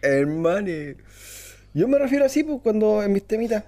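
A man's voice: sits high, big pitch swings, moderate pace, mellow. A Chilean man in his early twenties co-hosting a casual chat, slangy, playful and teasing. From Renaico, southern Chile. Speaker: co-host. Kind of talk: casual banter between friends.

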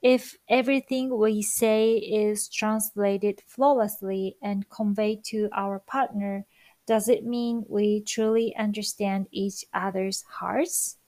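A woman's voice: medium pitch, fairly even intonation, no room sound. A Japanese-accented woman whose first language is Japanese, sharing reflective thoughts with viewers in a careful, thoughtful way. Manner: slow, careful, thoughtful delivery with soft fillers. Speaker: language-learning vlogger.

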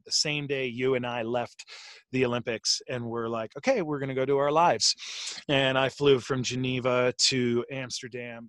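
A man hosting a show, talking casually and telling a story in a chatty, animated way. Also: medium pitch, dry recording. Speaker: show host. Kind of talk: casual video call interview.

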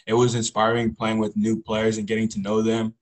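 A teenage young man from Congo, a high school football player, giving casual answers as an interview guest. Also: completely flat delivery, a little fast. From Congo, later the US Pacific Northwest.

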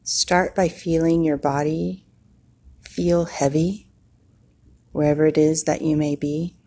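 Woman, meditation guide, low-pitched, breathy and husky, very unhurried, soothing, long pauses. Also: level tone, dark tone.

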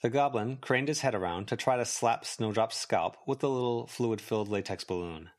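A man's voice: mid-range voice, normal speed. A man reading scripted fiction as an audiobook narrator. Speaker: volunteer audiobook reader.

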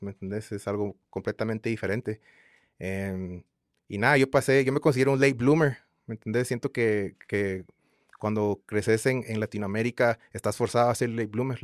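Laid-back Guatemalan man, low voice. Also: no echo, studio quality. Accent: Guatemalan Spanish. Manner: relaxed rambling with many fillers and repeated tags. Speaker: guest singer. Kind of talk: casual interview chat.

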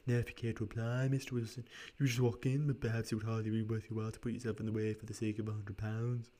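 A man's voice: lowish voice, little pitch movement, fast, dark tone, lightly acted. A man voicing an eager, persuasive Victorian shop assistant from a storybook, explaining in an emphatic, coaxing way.

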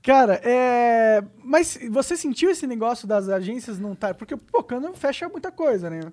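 A man's voice: very high voice, expressive, normal speed, a little mellow. Slangy Brazilian man hosting a podcast. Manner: casual, slangy, asks follow-up questions. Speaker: podcast host. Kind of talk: casual podcast chat.

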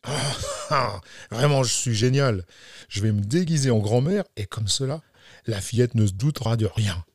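A man's voice: no echo, studio quality, natural voice. A man voicing a big, sly, boastful wolf in a children's fairy tale, with a smug, scheming drawl. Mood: gloating. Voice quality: deep voice in the story, slow deliberate delivery.

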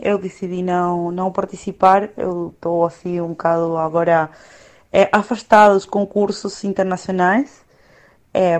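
A woman in her thirties whose first language is Spanish, an opera singer chatting casually as a guest in a reflective, unhurried way, often pausing to find words. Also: lowish voice, darkish tone. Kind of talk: casual conversation.

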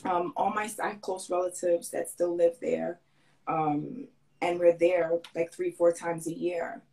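A woman's voice: on the low side, fairly even intonation, a little slow, creaky, warm tone, rough recording. A woman fashion designer who lived in Grenada as a child, talking earnestly in a casual interview and often restarting her sentences.

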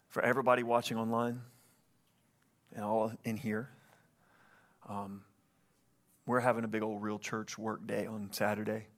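A man pastoring a church, preaching earnestly from the stage, often calling out for an amen and repeating phrases as he goes. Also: on the low side. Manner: earnest, calls for amens, repeats himself. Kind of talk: sermon. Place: church sanctuary. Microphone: stage microphone.

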